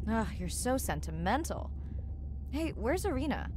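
An actress playing a keen, playful young diver-scientist in a video game, conversational and teasing. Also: female voice, on the high side, sing-song, unhurried. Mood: challenging. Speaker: marine scientist. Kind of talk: naturalistic video game acting. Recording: faint background noise, ambience.

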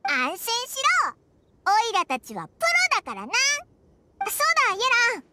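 A woman's voice: big pitch swings, normal speed, heavy background noise. A woman voicing a small, childlike floating fairy companion in an anime game, brash and eager with boyish wording. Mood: confident, eager. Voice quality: squeaky, childlike. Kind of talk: Japanese anime game voice acting. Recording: game music behind.

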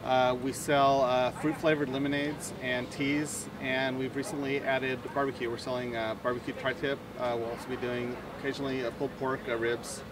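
A man's voice: medium pitch, little pitch movement, normal speed, hardly any pauses. A man who runs a coffee and barbecue stall, talking about his small business with frequent fillers. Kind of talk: interview answers. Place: outdoor market stall. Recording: distant mic, outdoor noise.

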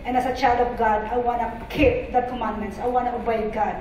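A middle-aged woman with a Filipino accent giving an earnest, halting church testimony with scripture. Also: fairly high pitch, level tone, a little mellow. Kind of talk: personal testimony and scripture reading. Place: church auditorium stage. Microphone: handheld microphone through PA, far camera. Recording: echoey hall PA, distant, faint noise.

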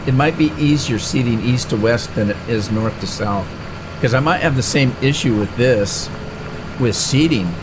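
Rambling middle-aged man with engine noise. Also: medium pitch. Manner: rambling, chatty, with frequent "uh" and restarts. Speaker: gaming channel host. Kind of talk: casual let's-play commentary. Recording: game engine noise clearly behind the voice. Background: tractor and engine sounds from the game.

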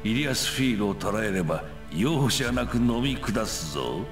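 A man's voice: lowish voice, animated, fast, natural voice. An actor playing a menacing, gloating old man in a Japanese visual novel. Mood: gloating urgency. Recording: echoing, distant game audio over loud music.

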